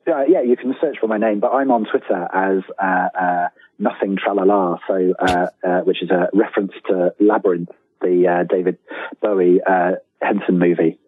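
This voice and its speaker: A man's voice: low-pitched, steady tone, normal speed, slightly rough, no room sound. Man on a tinny phone-like line. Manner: hesitant, self-deprecating, wry. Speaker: guest, writer of books and audio dramas. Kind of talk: casual interview chat.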